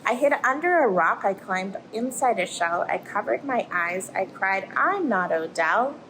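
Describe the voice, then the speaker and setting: A friendly, plucky little octopus played by a woman tells a rhyming storybook tale. The delivery is expressive and swings widely in pitch, over clear background noise. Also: measured pace, rough recording. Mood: anxious, suspenseful. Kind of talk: rhyming picture-book read-aloud. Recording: clear background noise, aquarium room ambience.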